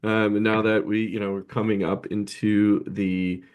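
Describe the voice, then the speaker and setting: Low-voiced man chatting fast. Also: little pitch movement, smooth, narrow, tinny audio. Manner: quick bursts, many fillers like uh, you know. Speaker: podcast co-host. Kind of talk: casual chat.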